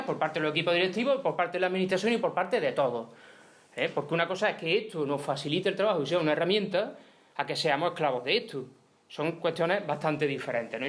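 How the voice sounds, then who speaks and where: A man's voice: on the high side, fairly bright tone. A middle-aged man from Spain speaking at a conference in a hall, emphatic and argumentative, often ending points with tag questions. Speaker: union speaker. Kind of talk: conference talk.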